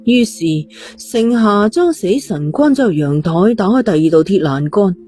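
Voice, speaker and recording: A man's voice: sits high, lively intonation, normal speed, a little mellow, soft background music. A man with a Hong Kong Cantonese accent narrating a suspense novel in a storytelling style. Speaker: audiobook narrator.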